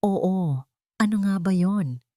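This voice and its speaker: A woman's voice: mid-range voice, slow pace, warm tone, dry recording, clean studio sound, lightly acted. A woman voicing a devoted, remorseful husband and young father in an earnest, tender, emotional way.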